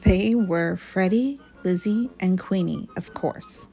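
A woman reading a children's story aloud in an expressive, deliberate, dramatic way. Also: mid-range voice, vocal fry, soft background music.

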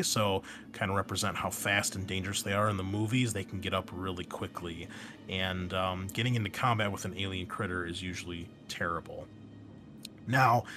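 Guy with a fairly low voice doing a casual game rules explainer, bit of noise behind him. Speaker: host and game master. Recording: clear steady background noise.

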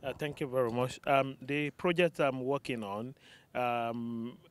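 Middle-aged Nigerian man explaining calmly. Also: mid-range voice, smooth. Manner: measured, explanatory, many ums. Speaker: researcher guest. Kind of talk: interview answer. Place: sunny outdoor campus. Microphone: handheld mic.

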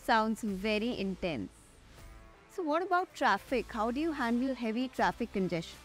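A young woman with an Indian accent hosts as an airline pilot. Her voice sits a little high, and she delivers scripted questions and explanations over background music. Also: lively intonation, moderate pace. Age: young adult. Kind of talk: scripted interview hosting and presenting. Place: large glass-walled airport tower room. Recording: music under the voice.

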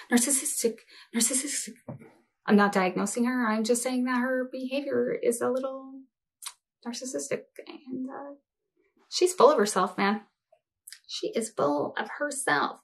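A woman's voice: sits high, unhurried. A woman in her forties giving casual, sarcastic reaction commentary, blunt and sweary, with a mocking edge. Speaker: host of a reaction commentary show. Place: small home office or craft room.

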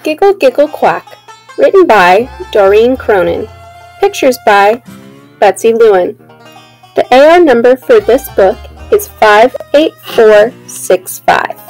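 A young woman reading a children's storybook aloud in an expressive way and addressing her young listeners. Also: a little high, slow, faint music behind, rough recording. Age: young adult. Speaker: teacher reading a picture book aloud.

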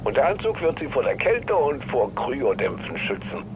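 A man's voice: mid-range voice, very animated, normal speed, background noise, close to an everyday voice. A man playing a polite, dutiful butler in an audio drama, formal and courteous. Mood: helpful. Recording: dull radio-like sound, music behind.

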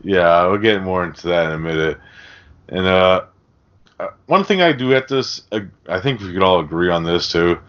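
Very deep-voiced man, casual film geek. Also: moderate pace. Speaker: podcast co-host. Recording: dull, lo-fi podcast audio.

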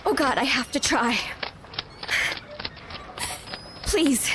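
A woman's voice: sits high, lively intonation, moderate pace, background noise. An actress playing a spirited, desperate young Roman noblewoman, pleading and defiant, in an audio drama. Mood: panicked. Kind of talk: full-cast audio drama acting. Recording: heavy background ambience under voice.

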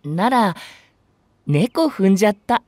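A man voicing a sweet-talking young kindergarten teacher in a voice drama, soft and gentle. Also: sits high, animated, takes it slowly, dry recording, studio quality, exaggerated voice. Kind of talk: Japanese voice drama acting.

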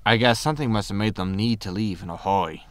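A man's voice: on the low side, quick pace, no echo, clean studio sound, close to an everyday voice. A man playing a greedy, breezy, disgraced space haulage captain in a sci-fi comedy audio drama, flippant and full of excuses. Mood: matter-of-fact. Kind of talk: science fiction comedy audio drama acting.

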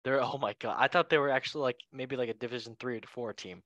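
A man hosts a casual football chat show with a bright, forward voice. He is a non-native English speaker who talks in a jokey, excitable manner. The sound is dry, with no room on it. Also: medium pitch, fast, no echo, dull audio. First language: not English. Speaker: show host.

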